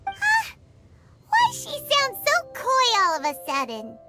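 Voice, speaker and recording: A tiny, childlike game fairy with an extremely high, squeaky voice. She is curious and blunt, bouncing around in pitch. Music plays clearly behind her. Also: wildly swooping pitch, slow, heavily acted. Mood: puzzled. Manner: speaks of self in third person. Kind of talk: cartoonish game character acting.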